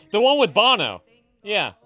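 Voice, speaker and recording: A bright-voiced male stream host, from California, who teases his guest and laughs a lot. His pitch bounces around lively, with faint music playing behind him. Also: no room sound. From California, Bay Area. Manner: playful and teasing, laughs often, swears casually. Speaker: livestream host. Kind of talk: casual chat.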